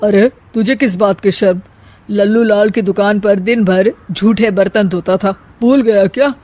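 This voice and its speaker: A woman voicing a kind but shrewd middle-aged mother-in-law in an animated comedy story, talking in a homely, elder-sister way. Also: mid-range voice, moderate pace, no echo, close to an everyday voice. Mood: bossy.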